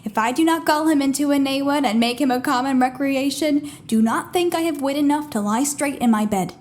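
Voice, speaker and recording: A woman playing a sharp-witted, mischievous serving woman who schemes, in a theatrical Shakespearean audio play. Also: sits high, fairly quick, close to an everyday voice. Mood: gleeful scheming.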